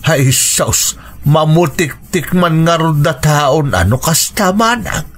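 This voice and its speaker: A man's voice: on the high side, animated, slow pace. An actor with a northern Philippine accent playing an affectionate, ageing, homebound father in a radio drama, with music behind him. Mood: subdued, easygoing. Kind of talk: Ilocano radio drama acting.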